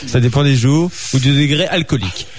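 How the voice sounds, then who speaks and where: A man hosting a live radio show, chatting with guests in a lively way with many fillers. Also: mid-range voice, brisk. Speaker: radio host.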